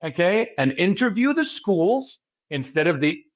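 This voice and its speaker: A man's voice: high-pitched, big pitch swings, measured pace, no echo, clean studio sound. A man from California with an American accent, a spiritual guide being interviewed, who talks emphatically and keeps asking rhetorical questions. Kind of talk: interview talk.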